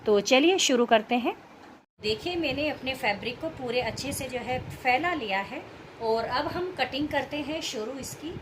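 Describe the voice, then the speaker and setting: High-pitched woman with sore throat. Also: expressive, normal speed, noisy background. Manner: explanatory, instructional. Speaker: host of a craft tutorial channel. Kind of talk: casual step-by-step sewing tutorial narration.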